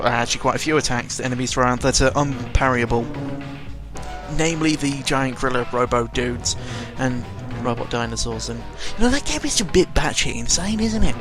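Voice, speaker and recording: A British man giving casual, unscripted video game commentary, rambling along in a sarcastic, mildly sweary way. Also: medium pitch. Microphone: close microphone. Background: video game music.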